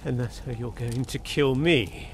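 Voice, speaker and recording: An actor playing a desperate young lord in a radio suspense drama, drugged, breathless and stammering. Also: male voice, mid-range voice, measured pace, slight background noise, natural voice. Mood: accusing.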